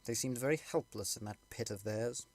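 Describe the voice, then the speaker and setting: A man's voice: mid-range voice, fairly quick, no room sound. A man reading a literary story aloud as scripted audiobook narration.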